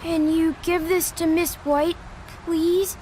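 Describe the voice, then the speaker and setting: A woman's voice: extremely high pitch, level tone, slow pace, mellow, slight background noise. A woman voicing an earnest, polite young child in a video game, speaking carefully and a little hesitantly. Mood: earnest pleading.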